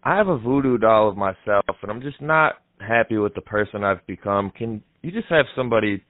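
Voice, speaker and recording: A young man making a deadpan prank call in a casual, halting way, heard through muffled, narrow audio. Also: fairly low pitch, dry recording. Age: young adult. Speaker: prank caller, comedy show host. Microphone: headset microphone.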